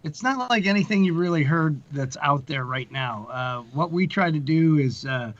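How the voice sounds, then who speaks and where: A man with a slightly high-pitched voice chats as a creative guest in an interview. He rambles along in a wry, folksy manner, and the sound is a bit dull and low in fidelity. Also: lively intonation, moderate pace. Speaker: guest, audio drama creator. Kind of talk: casual conversational interview.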